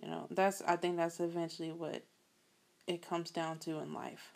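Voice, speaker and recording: A woman hosting a solo podcast, talking casually in a soft voice, rambling a bit and chatting straight to her listeners. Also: lowish voice, little pitch movement, normal speed, vocal fry. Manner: rambling, laughs often, addresses listeners as y'all. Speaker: podcast host.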